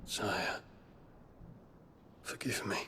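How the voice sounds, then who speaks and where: A man's voice: drawn-out words, bright, forceful tone, a little hiss or hum. A British man playing a dutiful, troubled young warrior prince in a fantasy video game, formal and restrained in his wording. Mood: submissive.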